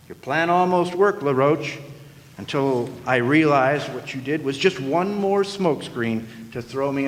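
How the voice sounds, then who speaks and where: A man's voice: a little high, natural voice. A man playing a wisecracking hard-boiled private detective in a radio play, with a theatrical, sardonic delivery. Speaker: narrator and lead actor in radio play. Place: live radio play in a hall. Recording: live stage, long hall reverb.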